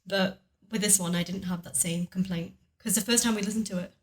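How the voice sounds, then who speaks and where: A woman's voice: medium pitch, fairly even intonation, fairly quick. A woman chatting casually in a commentary, giving frank personal opinions with lots of "like" and "personally". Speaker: co-host and partner in commentary.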